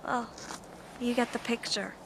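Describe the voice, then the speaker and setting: Guarded american daughter in a radio play, kinda high voice, natural delivery, bit of background noise. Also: female voice, fairly even intonation, unhurried. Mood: subdued, reflective. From United States. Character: guarded, grieving American daughter visiting father's hometown. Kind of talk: naturalistic radio drama acting.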